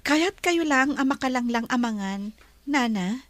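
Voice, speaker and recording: A woman with an Ilocano Filipino accent playing a gentle, wealthy bride-to-be in a radio drama. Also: high voice, expressive, unhurried, dry recording. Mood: apologetic.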